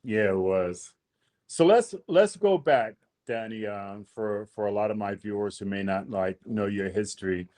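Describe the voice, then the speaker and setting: A man's voice: lowish voice, a little slow, darkish tone. A man hosting a podcast interview, laid-back and easily amused, dropping dude and bro. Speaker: podcast host. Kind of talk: casual interview chat.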